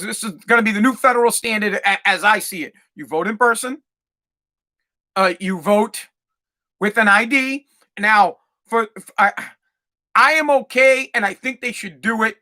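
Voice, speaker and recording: A man's voice: high voice, moderate pace, pauses often, pushed and bright. A male podcast host delivering a profane, fired-up rant with lots of repetition. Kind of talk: ranting monologue.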